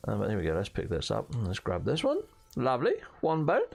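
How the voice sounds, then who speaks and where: A hoarse British man chatting through a game as its host, jokey and fond of playful slang and mild swearing. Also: fairly low pitch, huge pitch swings, fast. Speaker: gaming channel host. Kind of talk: casual gameplay commentary.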